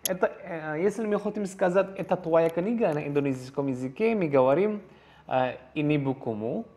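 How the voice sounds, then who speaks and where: A man's voice: on the high side, moderate pace, mellow. A man with an Indonesian accent teaching a language lesson over slides in a second language, hesitant, full of fillers and restarts, and repeating each phrase.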